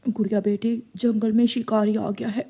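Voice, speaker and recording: Woman voicing a mellow, gentle father bird. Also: fairly high pitch, flat delivery, normal speed, breathy edge. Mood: concerned. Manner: earnest, gentle.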